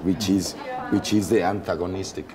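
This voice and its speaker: A man from Mexico with a Mexican Spanish accent, speaking English as a second language in a casual interview and often pausing to search for words. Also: sits low, fairly even intonation, crowd noise. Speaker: actor, interview guest.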